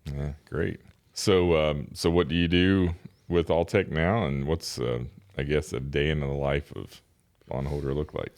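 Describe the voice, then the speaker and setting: A man hosting a podcast, who introduces his guests and asks friendly questions at a brisk pace. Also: extremely low pitch, steady tone, darkish tone, no room sound, clean studio sound. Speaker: podcast host.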